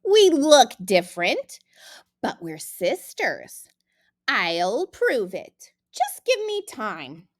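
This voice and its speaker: Plucky mother hen for a children's story, played by a woman; high-voiced, slow and exclamatory, sisterly but sly. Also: wildly swooping pitch, heavily acted. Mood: defiant. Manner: emphatic, exclamatory, drawn-out delivery. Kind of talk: acted children's storybook read-aloud.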